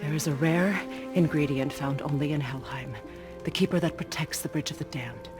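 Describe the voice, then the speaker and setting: A woman's voice: deep voice, moderate pace, music in the background, lightly acted. An actress playing a proud, wise witch goddess and healer in a video game, solemn, firm and instructive. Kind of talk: dramatic video game acting.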